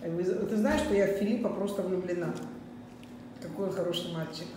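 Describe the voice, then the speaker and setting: A woman's voice: on the low side, quick pace. Middle aged russian lady just chatting n asking stuff, super echoey room, bit far from the mic. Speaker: vlogger interviewing a child. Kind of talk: casual chat, asking questions. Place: hard-surfaced open kitchen-dining room. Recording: very echoey room, distant, noisy.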